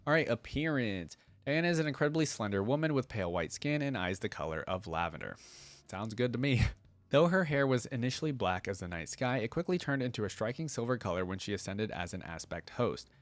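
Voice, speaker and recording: Man, low voice, rapid pace, reading aloud with casual commentary, dry close sound. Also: dry recording. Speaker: reaction video host.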